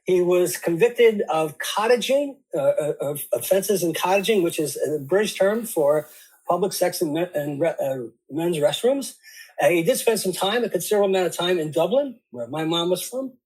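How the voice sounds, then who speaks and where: A man's voice: high-pitched, animated, moderate pace, darkish tone, husky, poor audio. A man hosting an interview podcast, hesitant with lots of fillers, jokey. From United States. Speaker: podcast host.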